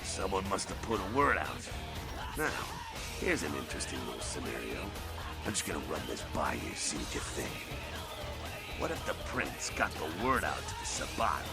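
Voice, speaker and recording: An actor playing a centuries-old, jovial anarchist vampire in a video game, with a gravelly voice and a mocking, streetwise, slangy drawl. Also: male voice, deep voice, big pitch swings, moderate pace, over loud music, full character voice. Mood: conspiratorial. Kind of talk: video game character dialogue.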